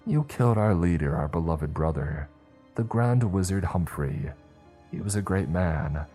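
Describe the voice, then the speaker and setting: A man's voice: deep voice, expressive, unhurried, dark tone, faint music behind. A man voicing a boastful, theatrical cult witch who shrieks and rambles. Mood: accusing. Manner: shrieking, chatty villain.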